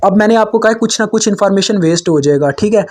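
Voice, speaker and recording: A man's voice: high voice, darkish tone. A young man in his twenties with a Pakistani Urdu accent, explaining engineering slides in a casual, informal way and calling his viewers kids. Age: 20s. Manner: informal, addresses viewers as kids, frequent fillers and restarts; slowly reads English textbook lines aloud. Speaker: tutor.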